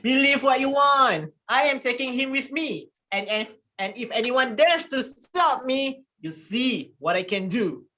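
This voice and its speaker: Actor as high-voiced, menacing estranged husband. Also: male voice, lively intonation, unhurried, few pauses, pushed and bright, heavily acted. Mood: threatening. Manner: confrontational, commanding. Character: menacing, angry estranged husband, cold and threatening. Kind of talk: dramatised reading of a village story.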